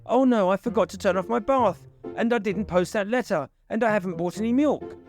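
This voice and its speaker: Storybook casting: man as flustered forgetful chap, raised pitch, frantic and scatterbrained. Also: brisk, a little mellow, soft background music. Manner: flustered, breathless listing.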